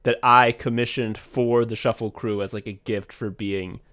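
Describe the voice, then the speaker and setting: A young man co-hosts a podcast in a loose, rambling way, laughing often and swearing freely. His voice sits at an ordinary middle pitch. He sounds relaxed and unscripted as he talks. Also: moderate pace. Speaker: podcast co-host. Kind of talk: casual podcast chat.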